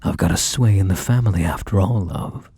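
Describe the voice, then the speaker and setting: A man acting as a possessive, manipulative mafia boss in an intimate ASMR roleplay, coaxing and softly menacing as he speaks to the listener. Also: sits low, brisk, gravelly, slightly rough, dry recording, heavily acted. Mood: tender, possessive.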